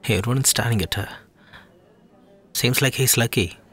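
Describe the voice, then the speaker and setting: A man's voice: mid-range voice, moderate pace, a bit bright, breathy edge. A man playing a casual gossiping friend.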